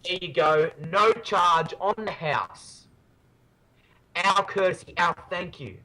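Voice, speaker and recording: A man's voice: high voice, slow, bright, forceful tone, low-quality recording. A man hosting a podcast in casual chat, playful and jokey, hyping ideas with enthusiasm. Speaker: podcast host.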